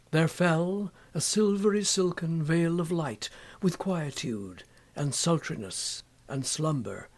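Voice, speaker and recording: A man with a fairly high voice gives a dramatic poetry reading. He takes it very slowly, with impassioned phrasing and frequent pauses, often sinking into a hushed delivery. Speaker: poetry reader.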